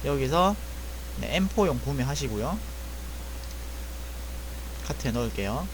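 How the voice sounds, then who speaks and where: A man's voice: mid-range voice, lively intonation, a little slow, noisy background. A man walking viewers through buying airsoft gearbox parts, explaining casually step by step and often correcting himself mid-sentence. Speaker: airsoft repair tutor. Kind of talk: screen-recorded parts-shopping walkthrough.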